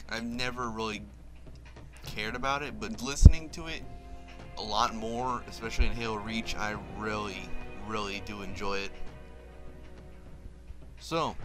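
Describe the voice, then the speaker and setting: Male gaming host, average pitch, off-the-cuff and casual, game soundtrack underneath. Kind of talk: casual game commentary over cutscenes. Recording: game music behind voice. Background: loud game soundtrack.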